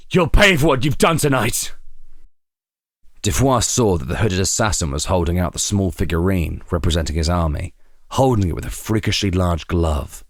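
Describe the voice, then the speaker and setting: A man narrating a scripted story in a dramatic, expressive and suspenseful way. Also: sits low, no echo, studio quality.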